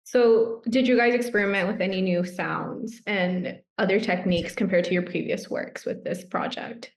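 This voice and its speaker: A woman's voice: mid-range voice, steady tone, normal speed. A woman interviewing a band, asking short, direct questions about their album.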